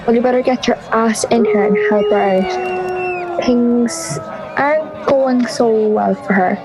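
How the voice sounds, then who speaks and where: A woman's voice: a little high, lively intonation, slow, a crowd in the background, low-quality recording. A young woman playing a blunt, gossipy school friend in an audio drama, with a matter-of-fact manner. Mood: urgent, gossipy. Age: teenage or young adult.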